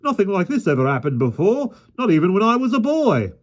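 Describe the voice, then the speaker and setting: A man voicing a fussy, panicky park keeper who keeps citing the rules, in a high shrieking voice. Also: sing-song, moderate pace. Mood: panicked. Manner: shrieking, high-strung, officious.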